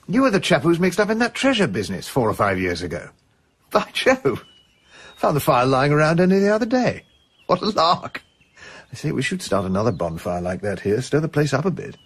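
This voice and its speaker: An actor in a radio drama playing a jovial, chatty colonial official with an upper-class English accent. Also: male voice, fairly high pitch, huge pitch swings, moderate pace, long pauses, husky, heavily acted. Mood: jovial, delighted. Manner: chatty, old-school enthusiasm.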